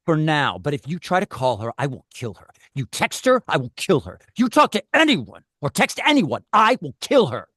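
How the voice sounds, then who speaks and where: A man's voice: fairly high pitch, very animated, normal speed, few pauses, a bit bright, no echo, clean studio sound. A man acting a menacing, blunt and foul-mouthed kidnapper making threats in a script table read. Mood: commanding, threatening. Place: video call.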